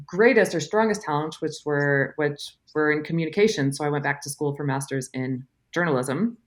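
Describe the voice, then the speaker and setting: A woman's voice: low voice, normal speed. A woman in her forties, a guest speaking casually in a podcast interview, chatty and self-deprecating with frequent laughs. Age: 40s. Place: home room.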